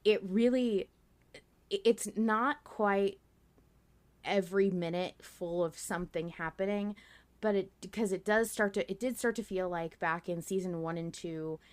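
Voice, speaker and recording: A woman's voice: mid-range voice, unhurried. A woman co-hosting a podcast in casual fan discussion, talking in a giggly, casually sweary way. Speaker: podcast host.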